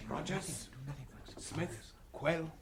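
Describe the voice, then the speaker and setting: An actor playing a stern, mutinous spaceship first officer, grave, clipped and formal, in a radio drama. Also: male voice, fairly high pitch, very slow, gravelly, heavily acted. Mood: grave, reproachful.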